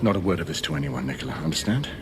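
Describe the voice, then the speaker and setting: An actor playing a decisive veteran commander of Victorian knights in a game, with clipped authority. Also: male voice, a bass voice, animated, racing, background noise. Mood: terse, secretive. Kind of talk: dramatic game cutscene acting.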